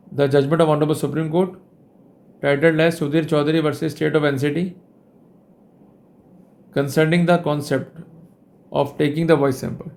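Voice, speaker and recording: Indian guy doing a formal lecture, slightly high voice, careful phrases w short pauses. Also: gravelly, a little mellow. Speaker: legal educator presenting a lecture.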